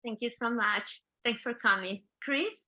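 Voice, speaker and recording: A woman's voice: fairly high pitch, measured pace, bright, forceful tone. A woman chairing an online meeting, politely thanking people and calling on the next speaker. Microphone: video call.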